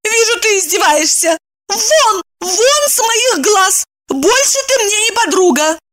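A woman voicing a melodramatic, indignant young woman in an audiobook, shrill and exclamatory as she scolds her friend. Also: animated, moderate pace, pushed and bright, no echo, full character voice. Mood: furious.

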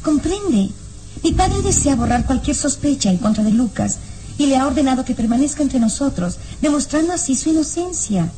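A woman's voice: sits high, big pitch swings, normal speed, dark tone, poor audio. An actress playing an earnest, anxious young noblewoman in a vintage radio serial, with a pleading delivery. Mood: explaining earnestly.

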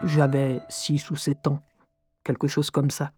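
An unhurried young woman played by an actress confides her memories over music. She is introspective and dryly ironic, narrating an audio drama in a deliberate way. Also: deep voice, flat delivery. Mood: wry, confiding. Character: wry, introspective young woman recalling her troubled childhood. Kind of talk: scripted first-person audio drama narration.

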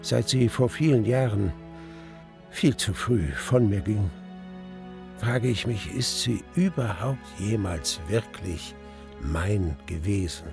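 An elderly man narrates a radio play in a deep-set, creaky voice. He is mournful and reflective, haunted by a lost love, and faint music runs behind his words. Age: older. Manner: brooding, halting, reflective. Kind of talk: dramatic first-person radio play narration.

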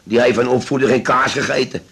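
A man's voice: medium pitch, fairly quick, creaky, fairly bright tone, breathy edge. An actor playing a boastful, bickering working-class father, colloquial and grumbling, in a comic radio drama. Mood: blunt, blaming.

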